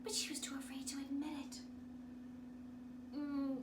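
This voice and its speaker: A woman reading a children's story aloud in a theatrical, expressive way. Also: high-pitched, fast talker. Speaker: drama teacher reading a picture book aloud. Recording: roomy, distant mic, noisy background.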